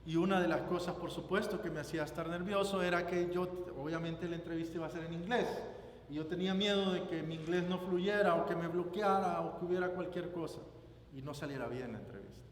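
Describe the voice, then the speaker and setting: A male preacher with a Guatemalan Spanish accent and a slightly high-pitched voice delivers a sermon. He speaks in deliberate, emphatic phrases, often repeating them. The sound is echoey, from a reverberant hall with faint noise behind him. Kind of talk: sermon with scripture reading and personal anecdote. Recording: roomy echoing hall, background noise.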